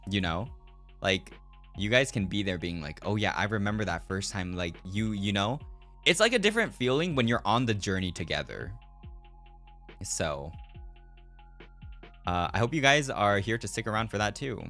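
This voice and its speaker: A man's voice: lowish voice, lively intonation, moderate pace, pauses often, pushed and bright. A man who streams as a virtual character, chatting casually to live viewers in a rambling, reflective way and often repeating his own phrases. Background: background music.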